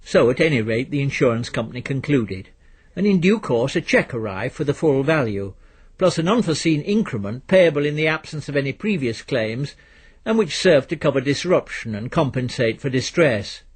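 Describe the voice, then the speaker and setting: A man reading literary fiction as a narrator, in a measured, precise and dry storytelling manner. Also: mid-range voice, lively intonation, husky. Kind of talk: scripted literary audiobook narration.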